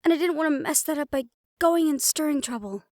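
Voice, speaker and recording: A woman playing a calm, protective householder who befriends ghosts, gently firm and reassuring, in an audio roleplay drama. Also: high-pitched, brisk, no room sound, clean studio sound. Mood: apologetic.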